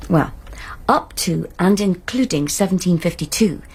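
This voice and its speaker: An actress playing a knowledgeable woman scholar in a radio drama, explaining in a patient, measured, exact way. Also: on the low side, expressive, darkish tone, husky, exaggerated voice, poor audio.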